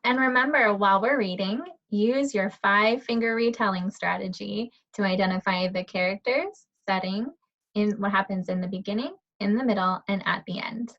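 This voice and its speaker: Female read-aloud teacher, medium pitch, children's storybook narration, slightly muffled lo-fi sound. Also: normal speed. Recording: dull lo-fi audio.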